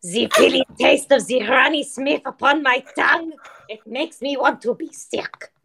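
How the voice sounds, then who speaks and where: A woman voicing a greedy, menacing apple scrounger in a theatrical, drawn-out, pleading manner for improv comedy. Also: high-pitched, expressive, vocal fry, fairly bright tone, no echo, exaggerated voice. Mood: dramatic.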